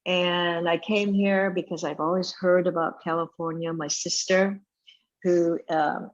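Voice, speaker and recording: A middle-aged woman from Vietnam, a chef and restaurant owner, talking casually in an interview with many pauses and fillers. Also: on the low side, little pitch movement, dull audio.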